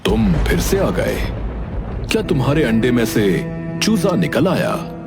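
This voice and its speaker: Man acting a stern village headman, animated delivery. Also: fairly high pitch, gravelly, exaggerated voice. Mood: questioning, measured. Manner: authoritative, deliberate. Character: stern, shrewd village headman and father-in-law. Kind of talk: Hindi children's cartoon voice acting. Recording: prominent music bed under voice. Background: music.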